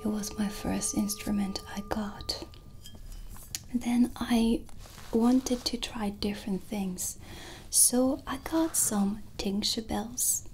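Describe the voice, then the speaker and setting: A woman in her thirties whispering breathily and gently into a close microphone, with long pauses, as an ASMR witch shopkeeper. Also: mid-range voice. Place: small dark candlelit indoor set. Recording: background ambience noise.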